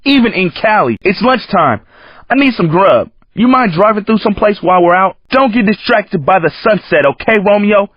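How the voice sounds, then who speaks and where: An actor playing a cocky, hip street-racing coach in a video game, with an American accent and a slangy, teasing, streetwise way of talking. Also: male voice, high-pitched, animated, moderate pace, no echo. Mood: joking, urging. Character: cocky, hip male San Francisco street-racing coach, joking and hyping. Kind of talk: video game character commentary. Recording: muffled lo-fi game audio, dry.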